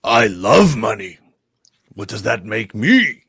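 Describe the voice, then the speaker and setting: A husky, gravelly alien crime boss acted out by a man, greedy and imperious. He drawls slowly through a comedic table read, swinging wildly in pitch as he mocks whoever is before him. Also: sing-song, fairly bright tone, dry recording, clean studio sound, heavily acted. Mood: suspicious, indignant. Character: greedy, scheming crime-lord alien king. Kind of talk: comedic live table read of a fan script.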